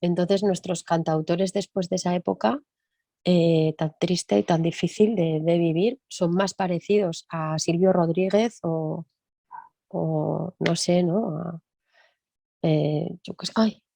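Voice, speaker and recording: A woman music teacher talking in an interview, thinking aloud and often tagging her points with quick questions. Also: on the low side, steady tone, creaky, mellow, no room sound. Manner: reflective, thinks aloud with frequent tag questions. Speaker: music teacher and percussionist guest. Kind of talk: conversational interview.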